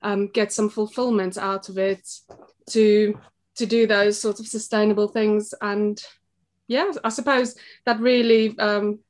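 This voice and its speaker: Podcast guest: middle-aged South African woman, monotone delivery, reflective and halting. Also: medium pitch. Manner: thoughtful, halting with fillers and restarts. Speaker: guest, shop co-owner. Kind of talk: casual interview chat.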